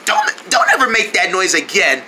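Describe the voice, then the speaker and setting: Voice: medium pitch, wildly swooping pitch, moderate pace, heavy background noise. A performer voicing a bossy, exasperated male cartoon friend, blunt and emphatic in manner. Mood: coaxing.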